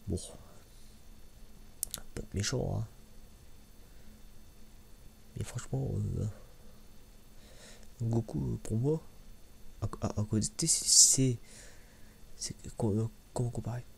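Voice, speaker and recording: A soft-spoken man gives casual ASMR-style commentary, halting and rambling, repeating words and using slang. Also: medium pitch, creaky, slight background noise. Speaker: ASMR video creator.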